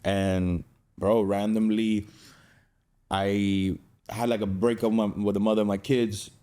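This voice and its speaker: Low, mellow-voiced young man from Cuba. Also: little pitch movement, a little slow, clean tone. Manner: streetwise, slangy, swearing. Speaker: podcast guest, fighter. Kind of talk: casual personal storytelling.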